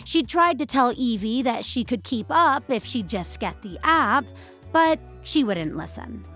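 A woman narrating scripted fiction with measured, expressive storytelling. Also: a little high, faint music behind.

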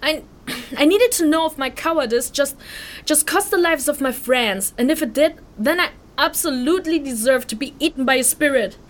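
An actress playing a guilt-ridden, stubborn young refugee in a fantasy audio drama, speaking in an emotional, halting, earnest way. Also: female voice, sits high, animated. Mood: anguished, defiant.

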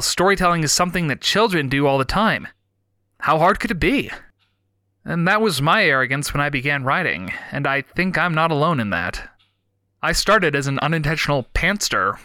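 Young male podcast host, stuffy with a cold, bright dry voice, measured and reflective. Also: fairly high pitch, no room sound. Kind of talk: reading a prepared talk on writing craft.

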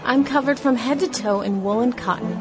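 A woman's voice: fairly high pitch, fairly quick, close to an everyday voice. Lady doing a young woman reading her 1916 diary, wry period radio play, music bed.

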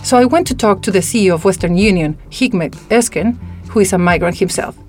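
A woman co-hosting a podcast and interviewing guests, reporting in a lively way. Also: mid-range voice, fast talker, soft background music. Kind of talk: scripted podcast narration.

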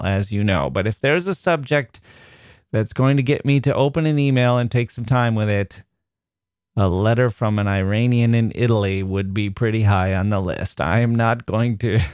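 A man hosting a literature podcast, chatting casually and reading out a listener's email, self-deprecating and quick to chuckle. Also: lowish voice, darkish tone, no echo, studio quality. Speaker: podcast host.

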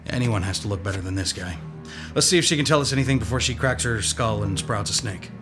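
An actor playing a dry, commanding police detective in a radio drama. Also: male voice, on the low side, quick pace, music in the background, lightly acted. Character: tough male police detective.

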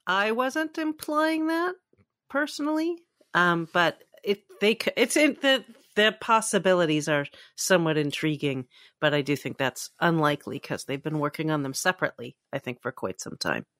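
A woman's voice: on the low side, expressive, normal speed, a bit bright, no room sound, studio quality. A woman co-hosting a podcast, discussing entertainment news in a casual, matter-of-fact, conversational way. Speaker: podcast co-host.

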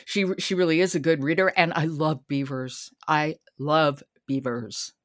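A woman's voice: sits low, steady tone, a little slow. A woman hosting a podcast, chatting casually about books. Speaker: podcast host. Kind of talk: casual conversational book discussion.

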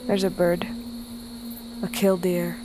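Bitter, weary outcast, slightly low. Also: female voice, monotone, takes it slowly, over music. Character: wounded, bitter, distrustful outcast lying alone. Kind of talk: audio fiction drama acting. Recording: faint outdoor insect ambience.

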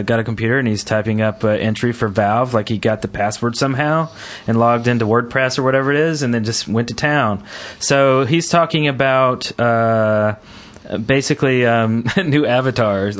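Male podcast host, average pitch, informal and chatty, for loose gaming talk. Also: fairly bright tone. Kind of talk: casual gaming podcast chat.

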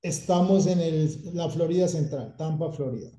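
A man's voice: on the high side, moderate pace. Colombian man telling stories in an echoey room. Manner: relaxed storytelling, mixes English words into Spanish. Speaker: painting company owner, guest. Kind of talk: casual interview chat. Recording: echoey room, dull lo-fi sound.

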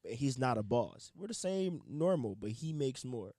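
A man co-hosting a casual group conversation, animated and argumentative, swearing casually. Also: mid-range voice, a little slow, no echo, clean studio sound. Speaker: podcast co-host.

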